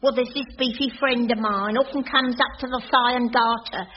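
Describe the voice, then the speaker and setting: A woman's voice: fairly high pitch, steady tone, moderate pace, lightly acted. An actress playing a chatty, doting cleaning woman in a 1980s radio sitcom. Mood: chatty, matter-of-fact. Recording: dull noisy radio recording, studio audience.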